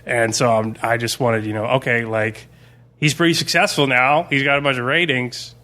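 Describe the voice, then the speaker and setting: A man's voice: medium pitch, steady tone, normal speed, sharp tone. A man talking casually on a podcast as a game master, rambling through stories and swearing freely.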